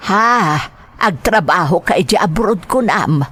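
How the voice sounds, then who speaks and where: A woman's voice: mid-range voice, animated, takes it slowly, a bit bright, slightly rough, no echo, heavily acted. A caring old grandmother in a radio drama. She sounds plaintive and motherly, an aging parent with aches, recorded close in a dry studio sound. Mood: concerned, reassuring. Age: older. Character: elderly mother and grandmother, caring, with aching knees.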